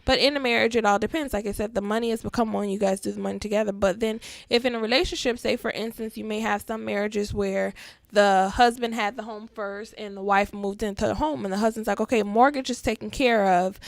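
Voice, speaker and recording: A woman's voice: mid-range voice, brisk, vocal fry, no room sound. A woman co-host in a casual panel talk, working through her opinion at length and dropping into short acted-out quotes. Her voice sits mid-range and is recorded close and dry. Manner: explains at length, animated, acts out quotes.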